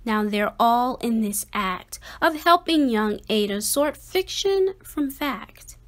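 A woman reading a rhyming children's picture book aloud with expressive, dramatic and deliberate phrasing. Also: fairly high pitch. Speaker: storybook reader.

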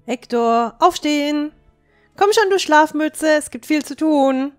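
Young dwarf adventuress for fantasy radio play, female actor: higher register, bossy, teasing, lively. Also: a little slow, soft background music. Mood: impatient, urging. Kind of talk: audio drama acting.

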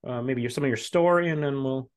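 A man's voice: mid-range voice, quick pace, clear, resonant tone. A man, hosting a casual interview over a video call. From Canada. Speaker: podcast host. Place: small room, on a video call. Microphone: video call, close to camera.

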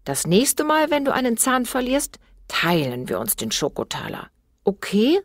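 Lady doing an eager little storybook boy, excited and swoopy, voice pitched up. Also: moderate pace, creaky, no echo, clean studio sound, exaggerated voice. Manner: excited, expressive.

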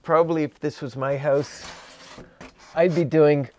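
A man's voice: a little high, fairly even intonation, a little slow, darkish tone. A middle-aged man giving an instructional walkthrough in a small unfinished basement, explaining with gestures while a camera records him from a few steps away. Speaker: home energy auditor. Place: small unfinished basement with concrete block walls.

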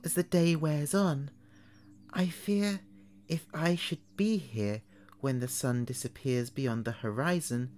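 A man voicing a menacing young girl in a dark fairy tale, formal, measured and ominous. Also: mid-range voice, voice pushed up.

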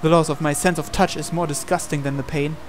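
A man's voice: on the high side, fairly even intonation, quick pace, slight background noise. A man reading a visual novel aloud as a game player, in dramatic storytelling narration.

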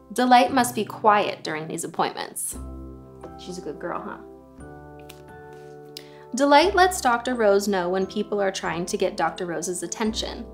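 Young woman reading to children over music. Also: mid-range voice. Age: young adult. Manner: friendly, chatty asides to her dog. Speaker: children's story time presenter. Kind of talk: read-aloud storytelling for young children. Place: living room sofa, indoors.

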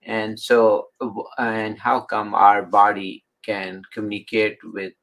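A man hosting an interview over a video call, asking halting questions with fillers. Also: fairly low pitch, flat delivery, a bit bright. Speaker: host.

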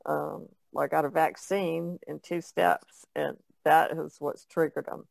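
A woman chats casually as a podcast co-host. Her voice has noticeable vocal fry running through it. The sound is dry and free of echo. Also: low-pitched, level tone, takes it slowly. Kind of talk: casual chat.

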